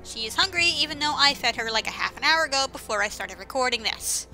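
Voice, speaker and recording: A woman's voice: sits high, expressive, fast, pushed and bright, faint music behind, rough recording. A woman giving casual, sarcastic and exclamatory commentary over a video game, speaking informally with some swearing. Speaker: gameplay commentator.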